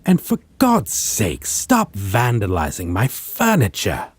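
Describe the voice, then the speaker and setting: Vampire lead, male actor: low, husky and very slow, with theatrical swings, possessive and condescending, for audio roleplay. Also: wildly swooping pitch, dry recording, exaggerated voice. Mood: exasperated, sardonic. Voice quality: husky, breathy. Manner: slow, theatrical, archaic wording, condescending endearments. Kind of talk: dramatic audio roleplay acting addressed to listener.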